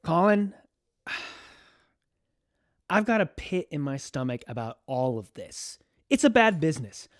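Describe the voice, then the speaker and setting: A man's voice: on the high side, big pitch swings, slow, long pauses, no echo, studio quality. An actor playing a worried middle-aged father who sighs anxiously. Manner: anxious, sighing. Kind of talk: sci-fi radio drama.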